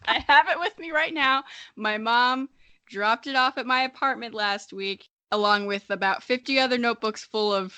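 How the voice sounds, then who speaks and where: A woman's voice: a little high, normal speed, pushed and bright, smooth. An American woman in her early twenties hosting a podcast in casual comedic chat, informal and self-deprecating. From Missouri, United States. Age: early 20s. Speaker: podcast host.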